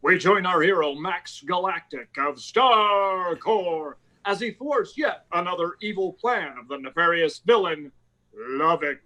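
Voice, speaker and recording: A man's voice: sits high, animated, measured pace, sharp tone, heavily acted. A man playing a grandiose announcer of a space-hero comedy in bombastic old-time radio serial style, with music behind him. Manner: bombastic, theatrical. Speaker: narrator.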